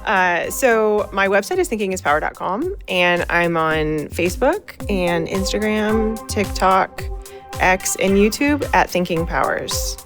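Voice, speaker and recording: A woman's voice: medium pitch, animated, unhurried, fairly bright tone, soft background music. An American woman chatting casually as a guest in an interview. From United States. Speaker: interview guest, science educator. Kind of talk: casual chat.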